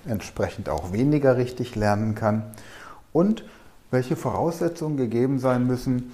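A middle-aged man from Germany talks eagerly about his work, sliding easily into anecdotes. His voice is dark and mellow at a medium pitch. He sounds relaxed and at ease. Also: normal speed. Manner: enthusiastic, anecdotal. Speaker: podcast host and learning coach. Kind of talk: podcast intro and radio interview.

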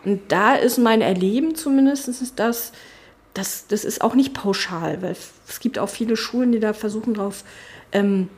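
A middle-aged woman speaks in an interview in a voice of average pitch for a woman. She talks as a politician, thoughtfully and haltingly, with many fillers and restarts as she searches for her words. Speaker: politician, spokesperson for family and education. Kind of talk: casual interview talk. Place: her constituency office.

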